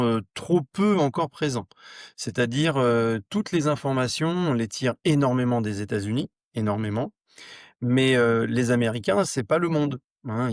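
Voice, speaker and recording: A man talks as a guest on a tech podcast. His pitch is average and the sound is very dry. He explains things in a casual, conversational way. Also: no room sound. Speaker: podcast guest, product manager.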